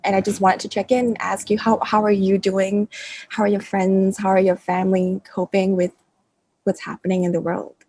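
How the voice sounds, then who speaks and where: A woman's voice: mid-range voice, flat delivery, moderate pace, warm tone, no room sound, low-quality recording. Brief: friendly Singaporean-accented woman interviewer, dry close sound. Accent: Singaporean English. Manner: friendly, upbeat, laughs often. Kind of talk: casual video-call interview.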